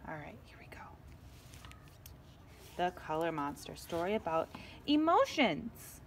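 A woman teacher reading a picture book aloud to young children in an expressive way, often turning to them with asides and questions. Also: fairly low pitch, slight background noise. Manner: expressive, slow and deliberate, addressing young children.

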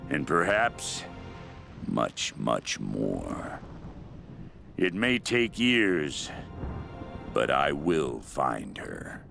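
A man's voice: rumbling low, huge pitch swings, vocal fry, music in the background, heavily acted. An actor playing a fanatical, mad cult leader obsessed with a queen, delivering a brooding, menacing diary monologue for a video game. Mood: calculating, intense. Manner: slow, brooding, menacing, intense.

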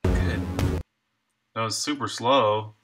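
A man's voice: lowish voice, slow, music in the background. A man in his thirties, a game developer thinking aloud casually on a live stream while coding, muttering to himself in short fragments at his desk. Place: small room indoors, at a computer desk.

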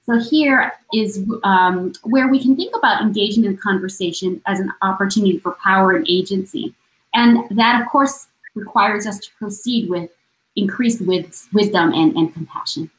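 A woman's voice: medium pitch, normal speed. A woman in her forties, an oral historian speaking on a video call panel, earnest and reflective as she lays out her points one by one. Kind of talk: conference panel remarks. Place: room lined with bookshelves. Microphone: video call webcam. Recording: roomy echo.

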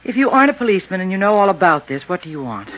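A woman's voice: mid-range voice, very animated, racing. A woman playing a guarded, loyal secretary in a 1950s radio drama, speaking defensively and warily. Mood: wary, upset. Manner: defensive, wary. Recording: dull 1950s radio recording.

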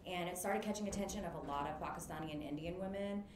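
Woman in her thirties, low voice, casual chat, roomy webcam sound, audible background noise. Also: quick pace. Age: 30s. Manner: chatty, lots of fillers, laughs easily. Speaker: guest, makeup artist business owner. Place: indoor room, on a video call.

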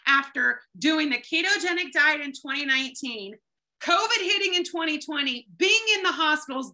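A woman in her thirties, co-hosting a video call and talking in a brash, lively, playful way. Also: high voice, low-quality recording. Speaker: co-host. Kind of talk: casual energetic talk. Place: home room indoors. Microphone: webcam on a video call.

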